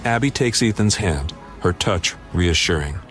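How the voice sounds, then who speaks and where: A man's voice: low-pitched, expressive, unhurried, fairly bright tone, faint music behind. A man narrating a scripted audio drama as its storyteller.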